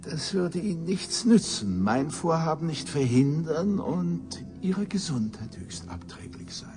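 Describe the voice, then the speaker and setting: Give the voice of a bitter old male professor, cynical and pompous. His pitch swoops widely as he relishes ornate, sardonic phrases. It is theatrical science fiction radio drama, made for menace and scorn. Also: very animated, a little slow, dark tone, soft background music. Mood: coolly threatening.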